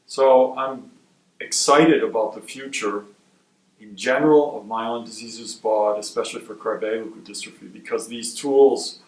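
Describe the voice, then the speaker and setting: Guy from wisconsin, research director, calm explaining tone, kinda far off in a roomy space. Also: medium pitch. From Wisconsin, USA. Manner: measured, explanatory. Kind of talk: interview answers. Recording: roomy sound from a distant mic.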